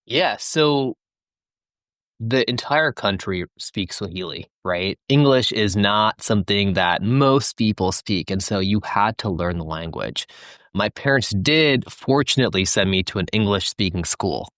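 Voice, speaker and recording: Deep-voiced man from Tanzania. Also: animated, fairly bright tone, no echo, studio quality. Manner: measured, leans on "you know" fillers. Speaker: guest, company founder. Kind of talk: casual interview answers.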